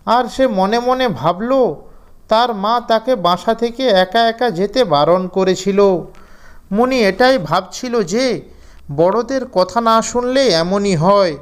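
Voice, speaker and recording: A man's voice: high-pitched, slow, clean tone. A man narrating a scripted children's fairy tale as storyteller.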